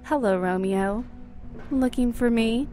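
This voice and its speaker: A woman's voice: a little high, takes it slowly, mellow, slight background noise. An actress playing a cruel, seductive vampire ex-wife in an audio drama, mocking and theatrical, with music behind her. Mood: seductive, taunting. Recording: music bed under the voice.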